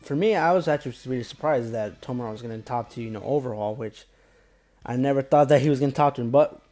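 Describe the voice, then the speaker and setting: Mellow-voiced man rambling through an anime review. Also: mid-range voice, fast, soft background music. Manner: rambling, repetitive filler phrases, stumbling over names. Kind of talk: casual unscripted recap commentary.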